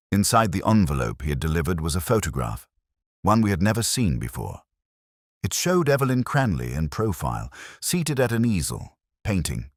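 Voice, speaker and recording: A man narrating a scripted story as an audiobook reader, in a measured, literary delivery. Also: low voice, no room sound, clean studio sound.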